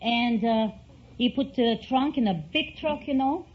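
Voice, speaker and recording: A woman's voice: on the high side, measured pace. An older woman from Greece with a Greek accent, telling stories as a contestant on a vintage radio quiz show. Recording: dull, faintly noisy vintage radio recording.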